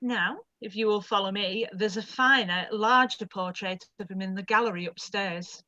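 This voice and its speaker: A woman's voice: mid-range voice, moderate pace, muffled recording, lightly acted. A woman voicing a chatty, old-fashioned housekeeper who is proud of her master, in a live-read radio play. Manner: chatty, warm, old-fashioned servant speech.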